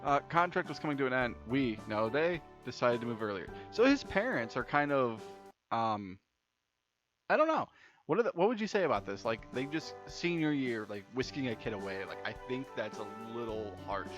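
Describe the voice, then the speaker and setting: A man's voice: mid-range voice, sing-song, moderate pace. A young man doing casual let's play commentary and reading game text aloud, informal and stumbling over repeated words. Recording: dull lo-fi mic with music behind. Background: game music.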